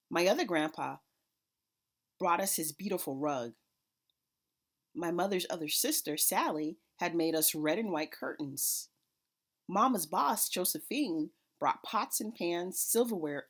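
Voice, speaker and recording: A woman reading a children's picture book aloud in an expressive storytelling manner. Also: low-pitched. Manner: expressive, acts out characters' dialogue.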